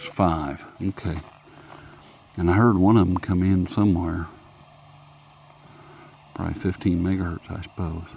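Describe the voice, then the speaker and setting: A man's voice: sits low, gravelly, darkish tone, a little hiss or hum. A man chatting casually through a hands-on gadget review in a rambling, folksy, self-mocking way, as a hobbyist reviewer.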